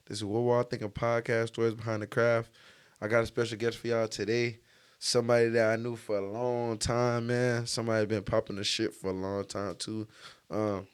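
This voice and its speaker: A man's voice: mid-range voice, clear, resonant tone. A man hosting a podcast in a casual, slangy interview style, with a joking, teasing manner. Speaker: podcast host.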